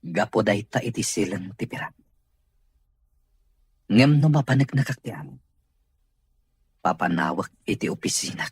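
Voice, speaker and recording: A man playing a grateful, earnest boss recovering in therapy, in an Ilocano radio drama, with a Filipino accent and a husky voice. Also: on the low side, very animated, unhurried, long pauses, a little mellow, no room sound, studio quality, heavily acted. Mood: resigned, explaining.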